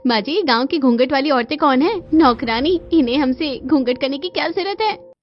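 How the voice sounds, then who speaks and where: Spoiled, mocking modern bride for a cartoon, pitched high female performer, dull lo-fi sound. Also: lively intonation, moderate pace, faint music behind. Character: haughty modern young daughter-in-law, spoiled and mocking.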